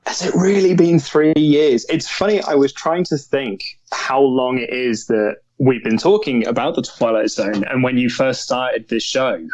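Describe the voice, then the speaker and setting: A man's voice: mid-range voice, a little slow, hardly any pauses, darkish tone, no room sound. Man chatting on a dull, lo-fi track. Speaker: podcast co-host and returning guest. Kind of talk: casual chat.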